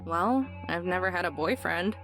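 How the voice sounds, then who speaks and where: An actress playing a gruff, easily embarrassed young woman with dry humor in a visual novel game, over music. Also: lowish voice, expressive, moderate pace. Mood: dry, caring.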